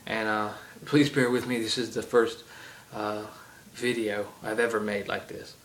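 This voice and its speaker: A man's voice: on the low side, little pitch movement, measured pace, fairly bright tone, a little hiss or hum, low-quality recording. A man with a heavy Southern accent rambling through a casual game rant, full of ums and side tangents. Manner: chatty, many ums and asides. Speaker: gaming vlogger. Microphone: iPod camera.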